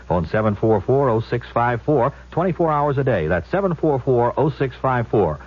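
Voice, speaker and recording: A man's voice: medium pitch, husky. A man reading a scripted advertisement as a 1970s radio announcer. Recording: dull old radio broadcast recording.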